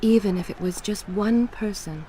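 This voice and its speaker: An actress playing a calm, mysterious storyteller, narrating a video game in a measured, reflective way. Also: female voice, mid-range voice, a little hiss or hum, natural voice, poor audio.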